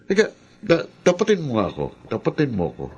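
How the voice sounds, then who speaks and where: A man's voice: a little high, huge pitch swings, fast talker, creaky, a little mellow, breathy edge, exaggerated voice. A man playing a brash, jealous, clownish lover in a Filipino radio drama, with a mocking, exclamatory delivery. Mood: jealous, insistent.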